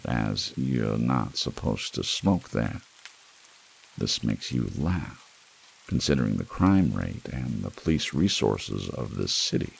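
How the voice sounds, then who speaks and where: Very deep, gravelly man narrating dark fiction. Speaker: audiobook narrator. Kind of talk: scripted noir fiction narration for ASMR.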